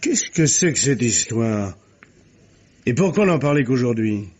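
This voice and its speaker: An actor playing a formal, sceptical examining magistrate in a radio mystery, questioning with authority. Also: male voice, mid-range voice, very animated, measured pace, a little mellow, slightly rough. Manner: formal, interrogating, clipped. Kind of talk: French radio mystery drama acting. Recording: dull, muffled old radio recording.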